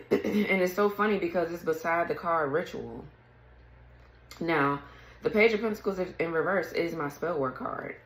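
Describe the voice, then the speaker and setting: Raspy-voiced woman giving a tarot reading. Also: on the low side, measured pace, pauses often, roomy sound, a little hiss or hum, rough recording. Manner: chatty, clears throat often, reads card texts aloud. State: raspy morning voice. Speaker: tarot reader. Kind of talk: casual tarot reading to camera.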